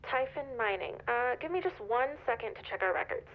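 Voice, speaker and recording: Brisk young shop girl answering phone, highish voice, tinny line, game. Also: animated, a little hiss or hum. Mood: polite, professional. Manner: polite, businesslike. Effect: telephone filter.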